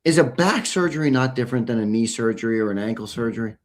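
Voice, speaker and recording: A man's voice: medium pitch, animated, quick pace. Man pressing his co-host with questions. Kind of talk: sports talk show debate.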